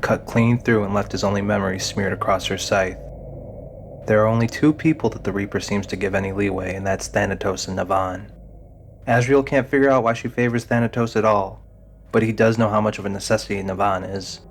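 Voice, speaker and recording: A man's voice: lowish voice, steady tone, fairly quick, slight background noise. A man narrating a scripted fantasy audio drama in the role of storyteller. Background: music under the narration.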